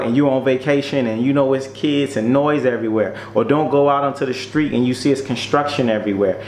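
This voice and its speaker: Man giving tips in an echoey room. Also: medium pitch. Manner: emphatic, repeats key points, direct address. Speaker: presenter giving business tips. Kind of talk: informal how-to talk, numbered tips. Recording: roomy echo, faint noise and hum.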